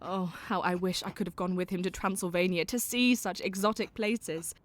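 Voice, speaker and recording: An actress playing an affectionate young Victorian woman who reads her own letter in a gentle, genteel way. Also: on the low side, steady tone, normal speed, lightly acted. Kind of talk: audio drama letter reading.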